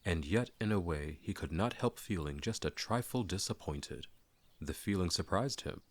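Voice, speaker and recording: Male audiobook narrator, very low register, dramatic storybook delivery. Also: animated, moderate pace.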